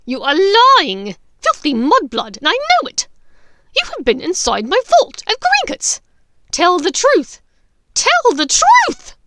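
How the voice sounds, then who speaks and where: A woman voicing a crazed, furious witch in an audiobook story, seething and shouting menacingly. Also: extremely high pitch, huge pitch swings, slow, slight background noise, pitched above the performer's own voice, heavily acted. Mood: raging. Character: crazed, furious adult witch interrogating a captive.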